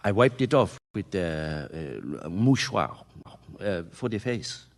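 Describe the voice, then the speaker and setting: A man's voice: sits low, sing-song, slow pace, full character voice. An actor playing a precise, earnest Frenchman in a radio mystery, with a French accent and careful, hesitant English. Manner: formal, careful wording, hesitant searching for English words. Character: tidy, precise Frenchman, former soldier, earnest and puzzled. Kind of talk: radio mystery drama acting.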